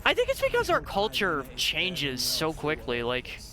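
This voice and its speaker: A man in his thirties streaming a game, chatting casually with a friend in an animated, rambling way, laughing often and swearing. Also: on the high side, unhurried. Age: 30s. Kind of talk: casual gaming stream chat.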